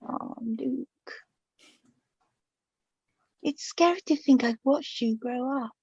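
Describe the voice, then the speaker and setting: A woman's voice: high voice, a little mellow, slightly rough, no room sound, exaggerated voice. An actress playing a chatty, affectionate middle-aged mother of the bride, slightly flustered and hesitant, in a radio play. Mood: tender, sincere. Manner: hesitant, halting, chatty, with small nervous repetitions. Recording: dull lo-fi, slightly muffled recording.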